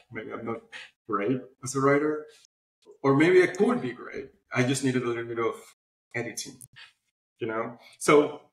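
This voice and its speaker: A man speaking casually as an interview guest, telling stories in an animated way with quoted asides. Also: mid-range voice. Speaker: interview guest, publishing platform founder. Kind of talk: casual conversational interview answers. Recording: roomy, echoey sound.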